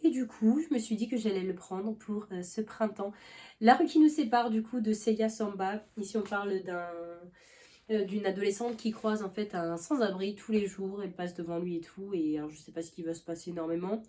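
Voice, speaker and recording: A woman's voice: medium pitch, brisk, warm tone. A young woman vlogging about books in a loose, rambling chat, full of fillers and restarts, with a cough now and then. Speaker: book vlogger. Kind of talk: casual chat.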